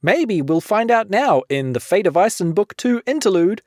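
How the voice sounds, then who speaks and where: A woman's voice: mid-range voice, very animated, moderate pace, darkish tone, dry recording, clean studio sound. A woman presenting a scripted podcast introduction with playful, dramatic emphasis.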